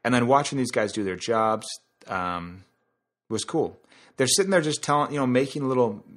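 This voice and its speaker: A man's voice: mid-range voice, expressive, moderate pace. A man hosting a podcast in a casual, conversational monologue, explaining things through analogies and asides. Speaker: podcast host.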